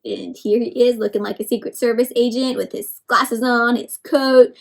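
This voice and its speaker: A woman reading a picture book aloud for children in an animated, encouraging way, addressing them directly with questions and simple explanations. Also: fairly high pitch, normal speed, creaky. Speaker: read-aloud storyteller for children.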